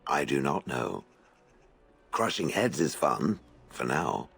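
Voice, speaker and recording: Very deep gravelly stone golem. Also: male voice, very animated, slow, fairly bright tone, soft background music, heavily acted. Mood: dry. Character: ancient stone golem, aloof, sardonic, fond of crushing. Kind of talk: fantasy game acting.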